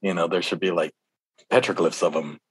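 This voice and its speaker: A man from Hawaii, a rapper being interviewed, telling stories in an informal, halting way that often doubles back. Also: sits low, fairly even intonation, breathy edge, no room sound. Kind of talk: casual interview storytelling.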